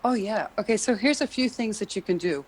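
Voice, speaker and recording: A woman's voice: mid-range voice, a little fast, poor audio. A woman, a jazz singer and vocal coach, talks through singing technique in casual chat, explaining at length and leaning on "you know".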